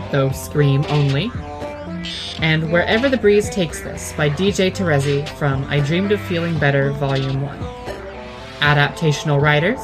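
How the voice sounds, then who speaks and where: Female credits announcer, very low pitch, scripted and careful read, clear music bed underneath. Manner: careful spelling-out of names.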